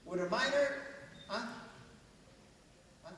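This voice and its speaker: Man, high bright voice, animated speaker at a school assembly, echoey hall heard from afar. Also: takes it slowly, distant mic, slight background noise. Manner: animated, asks the crowd many questions, bursts into shouts. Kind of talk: motivational speech to students.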